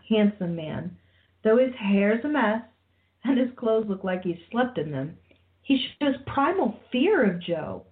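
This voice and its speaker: A woman's voice: mid-range voice, phone-quality sound, natural voice. A woman acting as the storyteller, reading scripted narration for a time-travel adventure radio play.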